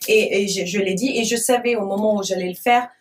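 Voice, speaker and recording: A woman's voice: mid-range voice, steady tone, brisk, distant mic. A woman from France, a guest on a talk show, arguing with indignation and often restarting her sentences. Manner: impassioned, indignant, many restarts. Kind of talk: heated talk show discussion.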